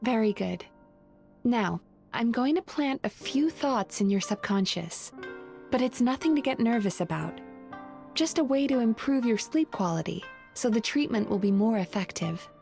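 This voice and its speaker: A woman voicing a gentle, playful young female therapist in a video game, with a soothing, teasing, polite manner. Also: a little high, animated, normal speed, creaky, faint music behind. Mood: calm, soothing. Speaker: game character.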